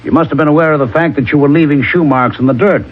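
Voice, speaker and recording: An actor playing a hard-nosed, methodical police lieutenant with a dry, blunt manner in a 1970s radio drama. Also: male voice, a little high, fast talker, close to an everyday voice. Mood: accusing, methodical. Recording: muffled, faintly noisy old radio broadcast.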